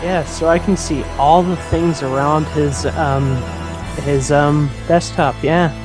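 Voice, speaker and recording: Higher-voiced playful man bantering over a game. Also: fairly even intonation, slow, low-quality recording. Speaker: let's play co-host. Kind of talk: casual banter over gameplay. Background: game music.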